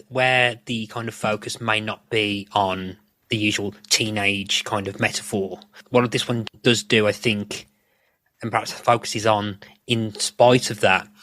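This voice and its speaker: A man's voice: on the low side, sharp tone, no echo. A man hosting a podcast, discussing analytically but casually, circling back over his phrases as he goes. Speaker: podcast host.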